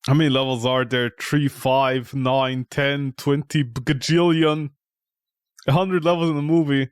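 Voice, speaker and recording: A man's voice: mid-range voice, flat delivery, a little slow, clean tone, dry recording, studio quality. A man streaming a game with casual, mocking, exasperated commentary and plenty of swearing. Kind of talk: casual, profane gameplay commentary.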